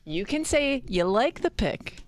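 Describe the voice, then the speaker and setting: A woman's voice: a little high, very animated, a little slow, dry recording. A woman co-hosting a radio show, chatting with dry amusement and reading a listener's post aloud. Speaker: radio co-host.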